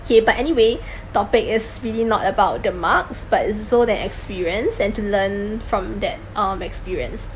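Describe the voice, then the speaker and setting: A woman's voice: mid-range voice, moderate pace, few pauses, slight background noise, rough recording. A woman vlogger talking casually about taking a language exam, chatty and self-deprecating, with frequent ums and little laughs. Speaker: vlogger sharing exam tips. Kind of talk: casual talking-to-camera vlog.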